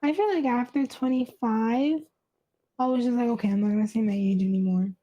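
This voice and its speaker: Youthful high mellow woman, slightly muffled recording. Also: brisk. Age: late 20s. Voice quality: youthful-sounding. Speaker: podcast co-host. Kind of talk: casual podcast chat.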